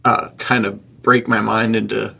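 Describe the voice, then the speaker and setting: Gravelly, husky man chatting casually. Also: on the low side, flat delivery, unhurried, pushed and bright. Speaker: podcast co-host, writer. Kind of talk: casual chat, reflective.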